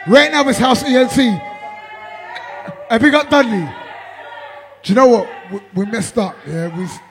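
Hoarse high-voiced MC, shouting. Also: male voice, lively intonation, moderate pace. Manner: loud, laughing, shouts out people. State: voice worn out from performing. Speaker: MC on a live stream. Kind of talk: hyped live banter between sets.

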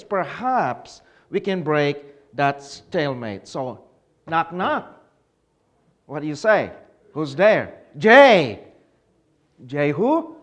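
Filipino-born man giving a church talk. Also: on the high side. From Philippines. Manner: explanatory, asks the audience questions. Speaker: conference speaker. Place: conference hall.

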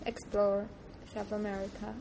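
A woman's voice: mid-range voice, completely flat delivery, moderate pace, gravelly, warm tone. A young woman from Poland with a Polish accent tinged with British, chatting casually with thoughtful, rambling restarts. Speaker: guest. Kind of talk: casual chat. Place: train station. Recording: noisy train station ambience.

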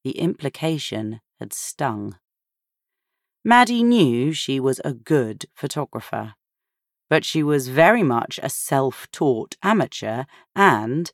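A woman narrating a novel in a measured, expressive storytelling manner. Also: a bass voice, no room sound, clean studio sound. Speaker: audiobook narrator.

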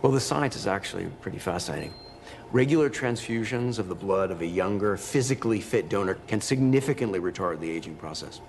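A man's voice: on the low side, lively intonation, fairly quick, vocal fry, a little hiss or hum. A man playing a smug transfusion enthusiast. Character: smug man boasting about blood transfusions. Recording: clip with roomy reverb and faint ambience.

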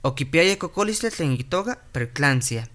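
An actor playing a calm clinic doctor in a radio drama, explaining and reassuring. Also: mid-range voice, normal speed, lightly acted. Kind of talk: radio drama narration and acting. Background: music.

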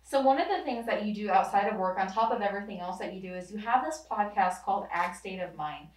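A woman's voice: medium pitch, expressive, quick pace. A woman hosting a podcast interview in an upbeat, friendly way. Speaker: podcast host. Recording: roomy echo, distant mic, faint music.

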